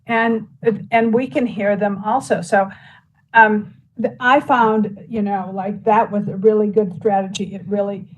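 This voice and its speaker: A woman's voice: medium pitch, fairly even intonation, measured pace, muffled recording. Rambling woman commissioner at a meeting. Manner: rambling, heavy use of "you know, like". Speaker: county commissioner. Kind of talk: public meeting discussion. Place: meeting room.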